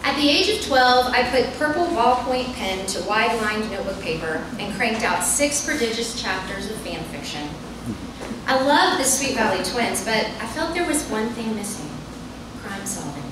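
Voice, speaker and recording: A woman's voice: on the high side, big pitch swings, moderate pace, far from the mic. A woman author reads a humorous personal essay aloud to a live audience at a bookstore event, with wry, self-deprecating, performative storytelling. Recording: echoey, noisy room, recorded from afar.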